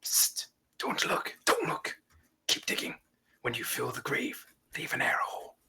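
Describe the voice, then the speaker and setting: A man playing a battered, bossy knight in a comedy table read, urgent and commanding in a breathy half-whisper. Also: unhurried, pushed and bright. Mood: furtive urgency.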